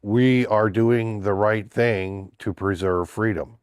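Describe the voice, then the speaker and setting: A man's voice: lowish voice, fairly even intonation, slow pace, clean tone, dry recording, studio quality. An older man with a stuffy, congested voice from a cold, giving a blunt, indignant opinion monologue in a casual vlog. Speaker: political vlogger.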